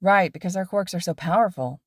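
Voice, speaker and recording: A woman's voice: fairly low pitch, a little fast, no room sound, studio quality. A woman voicing an unsure, earnest teenage boy who asks questions in a slightly sulky way.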